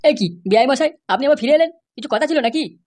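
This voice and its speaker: Old village dad voiced by a man, unusually high voice, blustering, effusive, eager to please, comedy cartoon. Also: lively intonation, fast, a little mellow.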